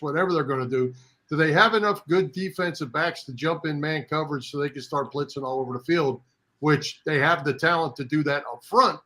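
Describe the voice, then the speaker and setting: A man's voice: a little high, bright, forceful tone. A man co-hosting a sports talk show, asking questions and chatting conversationally. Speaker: sports radio show co-host.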